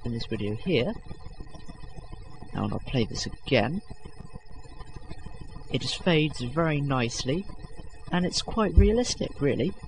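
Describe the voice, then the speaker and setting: Rambling young man explaining software. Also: mid-range voice, background noise. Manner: rambling, many fillers and self-corrections; self-deprecating, casual. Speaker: video tutorial host. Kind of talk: unscripted screen-recording tutorial.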